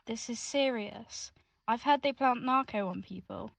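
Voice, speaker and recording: A woman's voice: a little high, a little slow, gravelly, fairly bright tone, no echo. Woman acting an anxious, pleading young British lover. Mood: pleading, upset. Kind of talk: intimate audio drama acting.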